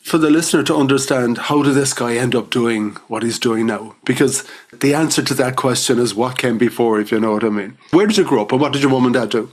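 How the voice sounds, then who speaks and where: A man's voice: medium pitch, fairly quick. A man hosting a podcast interview, chatty and enthusiastic, often laughing between points. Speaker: podcast host. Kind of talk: casual interview chat.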